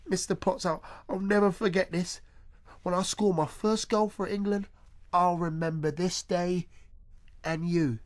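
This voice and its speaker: A man voicing an excitable, earnest eight-year-old schoolboy who gushes in oddly articulate phrases, in a comic radio story. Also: high voice, measured pace, pitched above the performer's own voice. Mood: earnest, grateful. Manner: gushing, incongruously articulate.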